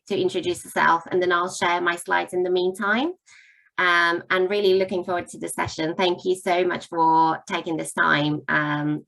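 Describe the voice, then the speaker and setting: A woman's voice: lowish voice, rough recording. A woman coach with a psychology background, opening a work webinar in a friendly, chatty way with frequent fillers. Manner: warm, chatty, lots of fillers. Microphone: video call mic.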